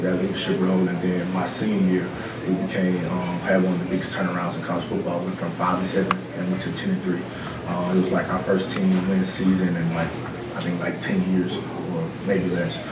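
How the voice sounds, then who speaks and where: A man from Mississippi, a football coach, introducing himself and telling his playing story in an earnest, rambling way. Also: sits low. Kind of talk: self-introduction to a room. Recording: roomy, distant, noisy room recording.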